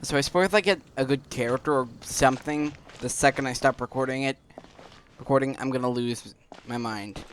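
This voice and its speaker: A man's voice: medium pitch, fairly quick, slight background noise. A man giving casual let's play commentary as he plays a video game. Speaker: gaming streamer.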